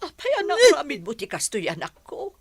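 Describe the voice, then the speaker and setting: Radio drama mother woman acting: worried, reproachful yet tender, animated delivery in a dry close sound. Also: high voice, fairly quick, dry recording, exaggerated voice. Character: middle-aged village mother, anxious, reproachful but tender.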